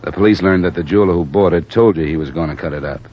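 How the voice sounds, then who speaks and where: An actor playing a hard-boiled insurance investigator in an old radio drama, terse and stern, also narrating. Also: male voice, low voice, very fast, slightly rough. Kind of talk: 1940s radio acting and narration. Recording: hissy lo-fi old radio recording.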